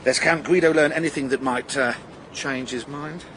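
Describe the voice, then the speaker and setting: A man's voice: a little high, fairly even intonation, normal speed, fairly bright tone, slight background noise, lightly acted. A man playing a catty, disdainful gossip in a radio drama, arch and relishing each word. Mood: arch, malicious.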